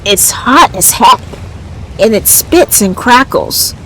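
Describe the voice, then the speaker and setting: A woman's voice: on the high side, expressive, slow, slight background noise, poor audio. A woman voicing a brash, blunt adventure game companion in a casual, bossy, teasing manner. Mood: suspicious. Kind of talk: video game character voicing.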